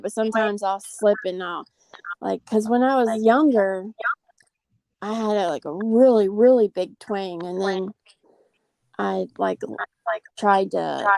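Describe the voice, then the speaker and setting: A woman with a slight Southern twang hosts a live chat and rambles along easily. Her mellow, dark voice has plenty of vocal fry. The sound is close and dry. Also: medium pitch, no room sound, low-quality recording. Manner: chatty, rambling, laughs easily. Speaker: live stream host. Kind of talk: casual chat.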